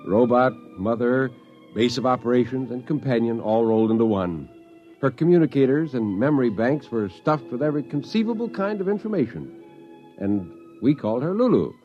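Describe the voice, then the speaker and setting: An actor playing a grumbling, skeptical astronaut in a 1950s radio drama, wry and plain-spoken. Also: male voice, mid-range voice, lively intonation, moderate pace, faint music behind, lightly acted. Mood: wry, recounting. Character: grumbling, skeptical male astronaut narrating his tale. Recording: muffled 1950s radio recording.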